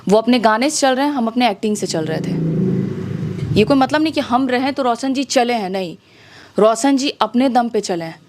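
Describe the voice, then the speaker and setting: Insistent high-voiced young Bihari woman. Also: lively intonation, normal speed, darkish tone, slight background noise. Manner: emphatic, insistent, with rhetorical questions. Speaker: interview guest, music-video actress. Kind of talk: spoken interview, airing grievances.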